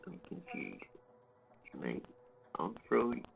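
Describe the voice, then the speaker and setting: A man's voice: on the low side, huge pitch swings, slow, creaky. A man hosting a livestream, rambling and joking sarcastically as he comments over a video. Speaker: livestream host. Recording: tinny phone-like audio.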